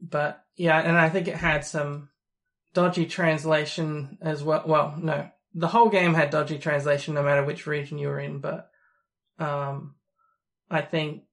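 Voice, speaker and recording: A gravelly, creaky speaker with a posh Australian accent that can pass for British. The delivery stays flat and even, rambling and hesitant with lots of ums and restarts. Casual podcast chat. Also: mid-range voice, a little slow. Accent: posh-sounding Australian, sometimes taken for British. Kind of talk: casual chat about a video game.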